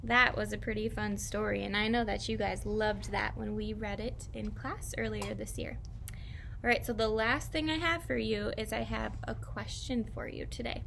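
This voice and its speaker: A woman teacher reading aloud and talking directly to young children in simple, expressive language. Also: mid-range voice, moderate pace, vocal fry, a little hiss or hum. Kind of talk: children's read-aloud and lesson.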